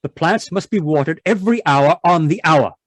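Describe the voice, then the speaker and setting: A man's voice: a little high, expressive, normal speed, dry recording. An actor playing a stern, irritable husband obsessed with his work, imperious and impatient, in old-time radio drama style. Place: live video call performance. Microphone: video call microphone.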